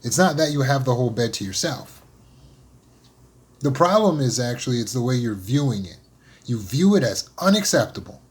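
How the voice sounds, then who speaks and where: A man's voice: mid-range voice, sing-song, normal speed, husky. Earnest man talking about loneliness. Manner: earnest, repeats words as he thinks aloud. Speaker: motivational speaker on trauma. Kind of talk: solo talk, unscripted.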